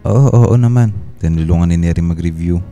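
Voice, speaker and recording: A man's voice: low voice, a little fast, mellow, a little hiss or hum, close to an everyday voice. An actor playing a tired, dutiful husband and father in a Filipino radio drama, earnest and a little hesitant, with a Filipino accent. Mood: tired, evasive. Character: tired, dutiful working husband and father, grateful, somewhat evasive.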